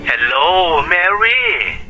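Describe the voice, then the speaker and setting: An actor playing a concerned uncle calling from abroad in an Ilocano radio drama, heard through a telephone effect. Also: male voice, sits high, big pitch swings, extremely slow, loud music behind the voice, full character voice, rough recording.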